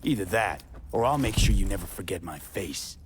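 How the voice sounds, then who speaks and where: An actor playing a terse, hard-edged mercenary in a video game, speaking in clipped, threatening lines. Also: male voice, sits low, expressive, normal speed, background noise. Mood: menacing. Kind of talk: video game cutscene acting.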